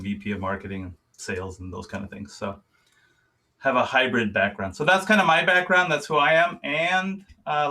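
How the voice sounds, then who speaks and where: A man's voice: mid-range voice, pushed and bright, far from the mic. A man who is a native German speaker, informally chairing a meeting and introducing himself.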